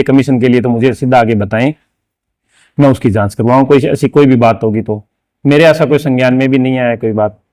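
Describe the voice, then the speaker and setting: A man's voice: mid-range voice, darkish tone, no room sound. A man, a local official giving emphatic, repetitive denials in an interview. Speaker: local council head. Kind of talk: interview statement.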